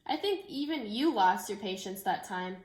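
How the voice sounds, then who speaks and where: A woman's voice: medium pitch, animated, normal speed, a little hiss or hum. A woman teacher reading a picture book aloud and chatting informally and directly to young children. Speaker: elementary school teacher. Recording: echoey room.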